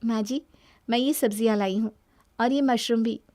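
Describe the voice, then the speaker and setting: A woman plays a young newlywed daughter-in-law in a cartoon story. She is gentle and devoted yet stands up for herself, in a fairly high voice recorded very dry. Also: steady tone, normal speed, no room sound, natural voice. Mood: cheerful, helpful. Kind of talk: Hindi cartoon moral-story voice acting.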